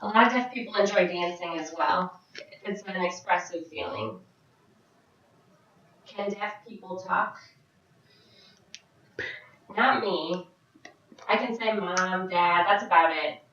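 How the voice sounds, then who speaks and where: A woman voicing a signed question-and-answer vlog as an interpreter, speaking in short, halting phrases with frequent restarts. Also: fairly low pitch, expressive, fairly bright tone, a little hiss or hum. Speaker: sign language interpreter voicing a Deaf vlogger. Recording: very echoey, distant, dull sound.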